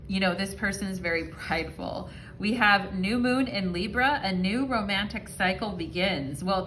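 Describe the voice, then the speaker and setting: A woman's voice: medium pitch, sharp tone, a little hiss or hum, rough recording. A woman giving a casual, rambling tarot reading to her viewers, often checking in with "okay" and "you know". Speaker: tarot reader.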